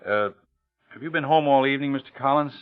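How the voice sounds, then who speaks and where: An American actor in middle age playing an anxious, coolly polite killer, narrating in clipped, intense phrases in old radio drama style. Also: male voice, mid-range voice, quick pace, clear, resonant tone, natural voice. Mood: guarded, polite. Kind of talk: 1940s-50s radio suspense acting, narrating. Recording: muffled old radio recording, organ music behind.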